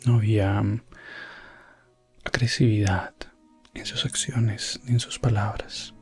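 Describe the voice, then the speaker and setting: A gentle, breathy elf prince, played by a man recounts fantasy adventures in an ASMR roleplay. His voice is fairly low and drifts into whispers. He speaks very slowly, with calm, principled courtesy. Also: soft background music, full character voice. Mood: calm, recounting. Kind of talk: soft-spoken ASMR roleplay, medieval fantasy storytelling.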